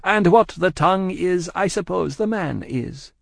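Man voicing a cold, composed French tavern wife, pitched up. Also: expressive, vocal fry, dry recording, studio quality, full character voice. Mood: dry, cutting. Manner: measured, deliberate, curt.

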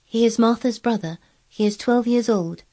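A woman's voice: fairly high pitch, moderate pace, warm tone, husky, pitched above the performer's own voice. A woman voicing a frank, strong-willed, earnest young girl in storybook dialogue. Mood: admiring. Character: frank, strong-willed, curious ten-year-old girl.

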